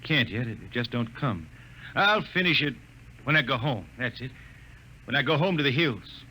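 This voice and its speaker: A man's voice: medium pitch, expressive, pushed and bright, slight background noise, low-quality recording. A man playing a weary, stubborn old spaceman and balladeer, homesick, with a folksy rural American accent in a 1950s radio drama. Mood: weary, wistful. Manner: folksy, slow, wistful. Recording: vintage radio recording with music behind.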